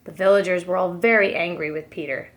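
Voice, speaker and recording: A woman's voice: on the low side, brisk. A woman preschool teacher reading a storybook aloud expressively for young children. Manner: expressive, addresses young listeners.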